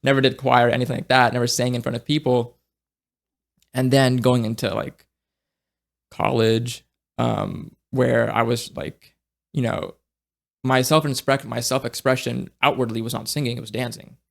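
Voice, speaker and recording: Gravelly, halting young man. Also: medium pitch, little pitch movement. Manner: halting, with many restarts and fillers; reflective, searching for words. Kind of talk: casual conversation.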